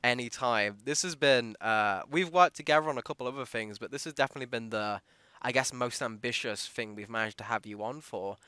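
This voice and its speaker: A man hosts a casual podcast interview in a bright, smooth voice. His mid-pitched delivery is recorded close and dry. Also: fairly quick, no room sound. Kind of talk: casual behind-the-scenes interview.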